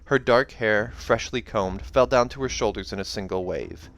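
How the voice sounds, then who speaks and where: A man's voice: on the low side, moderate pace, a bit bright. A man reading a fantasy novel aloud as dramatic scripted narration. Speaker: audiobook reader. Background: background music.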